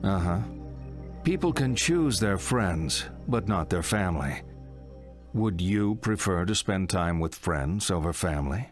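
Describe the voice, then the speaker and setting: An actor playing a middle-aged psychotherapist, deliberate, probing and slightly theatrical, in a video game monologue. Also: male voice, sits low, animated. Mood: coaxing, probing. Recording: loud music under the voice.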